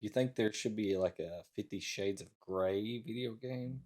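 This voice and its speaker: A man's voice: lowish voice, a little slow, a little mellow. A middle-aged man co-hosting a casual talk show, thinking aloud in a tentative way. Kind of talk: casual chat.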